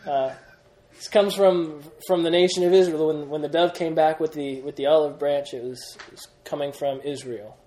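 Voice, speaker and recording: A young man leading a Bible study class, energetic, quizzing the group and often restarting his sentences. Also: on the high side, moderate pace, a little hiss or hum, low-quality recording. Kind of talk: classroom Bible lesson with questions.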